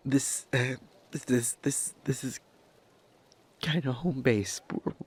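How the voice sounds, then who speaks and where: A man acting an anxious, kind young helper at a disaster in an audio roleplay, stammering heavily in a soft, hesitant voice. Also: mid-range voice, breathy edge, full character voice. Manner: heavy nervous stammer, hesitant.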